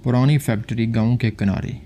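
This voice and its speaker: Frightened woman caller, voiced by a male narrator, urgent and pleading, for a suspense audio story. Soft, dark timbre. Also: medium pitch, steady tone, a little fast, slight background noise, natural voice. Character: terrified woman pleading for rescue on the phone.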